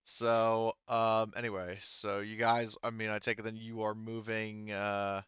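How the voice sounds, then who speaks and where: A man game-mastering a tabletop roleplaying game in casual talk, halting as he thinks aloud. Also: fairly low pitch, flat delivery, fairly bright tone, clear, resonant tone, dry recording, lo-fi sound.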